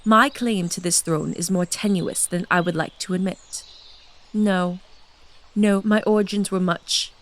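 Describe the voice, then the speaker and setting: A woman voicing an immortal, elegant queen who speaks softly and gently in an audio drama. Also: lowish voice, fairly even intonation, a little slow, lightly acted. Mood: wistful. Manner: soft, gentle and wistful. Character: immortal elegant queen, soft-spoken blood mage.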